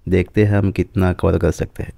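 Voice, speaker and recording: A man's voice: low voice, little pitch movement, brisk, vocal fry, mellow, a little hiss or hum. A man vlogging as he walks, explaining the sights outdoors to his viewers. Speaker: travel vlogger. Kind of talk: casual travel narration. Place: outdoors at a desert rock site.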